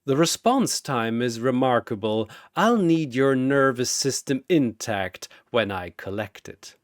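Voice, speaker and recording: A curious, menacing mad scientist from a fantasy battle tale, as a male storyteller plays him. He speaks with detached, formal courtesy, slowly and with expressive pitch movement in a dry recording. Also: dry recording, lightly acted. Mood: clinical fascination.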